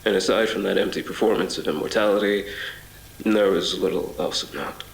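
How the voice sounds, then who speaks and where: Man acting a haunted, very deep-voiced narrator. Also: fairly quick, gravelly. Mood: brooding, uneasy. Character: haunted man recounting a surreal ordeal. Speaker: voice actor. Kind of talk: scripted horror audio drama narration. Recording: reverberant voice over music.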